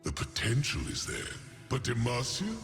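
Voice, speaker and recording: A man's voice: medium pitch, huge pitch swings, moderate pace, fairly bright tone, soft background music. An actor voicing a haughty, taunting male video game champion in a theatrical, mocking manner. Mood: disdainful, mocking.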